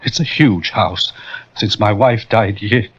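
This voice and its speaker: A husky, obsessive grieving widower. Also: male voice, fairly low pitch, slow, fairly bright tone, heavily acted. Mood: insistent. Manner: clipped, insistent. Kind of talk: 1940s radio acting. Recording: dull 1940s radio broadcast.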